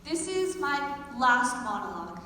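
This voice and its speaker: A teenage girl, a high school senior, performing a theatrical monologue. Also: sing-song, unhurried, sharp tone. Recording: very echoey hall, distant mic, faint noise.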